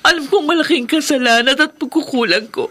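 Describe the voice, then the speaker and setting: A woman's voice: high voice, brisk, a bit bright. A woman playing a distraught, pleading wife in a melodramatic radio drama, urgent and emotional. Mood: tearful pleading. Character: distraught, pleading wife afraid of losing her husband.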